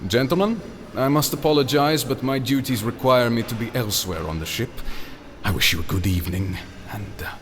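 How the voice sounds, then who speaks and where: Actor as suave, secretive captain, echoing hall. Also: male voice, medium pitch, natural voice. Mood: courteous, sly. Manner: formal, smoothly polite, measured. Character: suave, courteous, secretive male ship captain, master of ceremonies. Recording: echoey hall with background noise.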